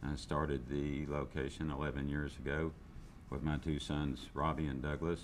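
A man's voice: rumbling low, flat delivery, moderate pace, slight background noise. An older man, a business owner, speaking candidly in a seated interview, with frequent restarts and fillers. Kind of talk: interview testimonial. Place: indoor room, seated interview. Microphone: lapel.

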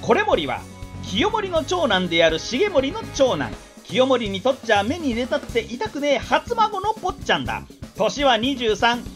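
A woman acting a brash, talkative samurai scout in a radio drama, reeling off war news in rough, theatrical storytelling patter. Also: high-pitched, sing-song, measured pace, faint music behind. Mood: gleeful, mocking.

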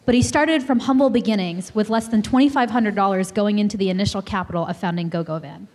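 A woman's voice: medium pitch, fast, a little mellow. Poised young woman introducing a guest. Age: 20s. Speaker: student event coordinator. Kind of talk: formal introduction speech. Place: lecture hall podium. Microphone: handheld mic.

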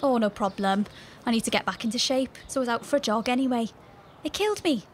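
A woman's voice: on the high side, fast, a little hiss or hum, natural voice. A woman playing a caring, breezy young British friend in an audio drama, chatty and reassuring. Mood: breezy, generous. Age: young adult. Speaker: actor in a fiction podcast. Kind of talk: naturalistic audio drama dialogue.

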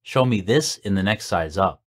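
Man, language lesson reader, animated pitch, measured enunciation, scripted phrases. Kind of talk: scripted reading of example sentences.

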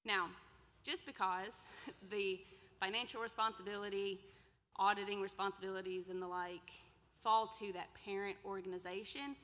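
Woman, 30s, extension presenter; chatty lecture, crisp bright tone, reverberant hall. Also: mid-range voice, steady tone, normal speed. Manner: conversational, asks the audience questions. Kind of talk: workshop lecture with slides. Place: large lecture room indoors. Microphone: podium mic, far camera.

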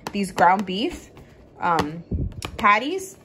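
A woman's voice: mid-range voice, sing-song, extremely slow. A young adult woman chatting casually and enthusiastically to viewers as a vlogger in a home kitchen. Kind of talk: casual grocery haul vlog chat. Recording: clear background noise and room ambience.

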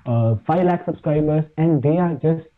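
An Indian-accented man whose first language is Hindi talks casually as a guest, stopping often to search for words. His voice sits a little high. It comes through a thin, phone-like line. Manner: halting, many fillers and restarts. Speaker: podcast guest, fitness YouTuber. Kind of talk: casual chat.

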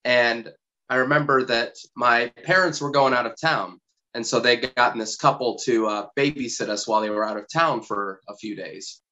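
A man from the United States recounts a story from his childhood in lively detail. His voice is bright and forceful. The recording sounds dull and lo-fi. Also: medium pitch. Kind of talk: casual storytelling interview.

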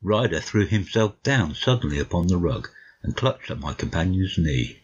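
A man with a husky voice giving a scripted narration of a classic story for an audiobook, slightly muffled. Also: low voice, lively intonation, normal speed, a little hiss or hum.

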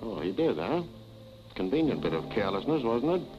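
An actor playing a skeptical, sarcastic police detective with a dry, clipped manner in 1940s film style. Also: male voice, on the low side, very animated, fairly quick. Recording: dull 1940s film soundtrack with music.

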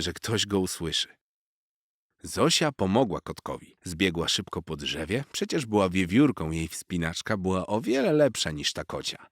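A man's voice: low-pitched, fairly bright tone, dry recording. A man narrating a children's story expressively from a script, close on the mic.

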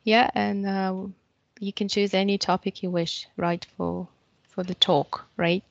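A woman in her thirties running a mock job interview on a video call, brisk and checking in with frequent questions. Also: mid-range voice, flat delivery, gravelly, dry recording. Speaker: interviewer playing a student. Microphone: video call, headset. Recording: dull dry video-call audio.

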